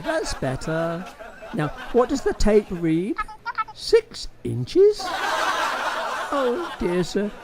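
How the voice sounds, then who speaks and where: A man's voice: high-pitched, noisy background, heavily acted. A man playing a camp, mischievous menswear shop assistant in a comic radio play, arch and fussy.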